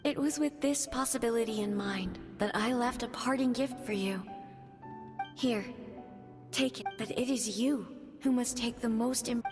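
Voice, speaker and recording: A woman voicing a gentle, serene spirit of a departed goddess in a video game, speaking tenderly and formally. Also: a little high, natural voice. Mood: apologetic, wistful. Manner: tender, formal, unhurried storytelling delivery. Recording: long reverb, prominent music behind.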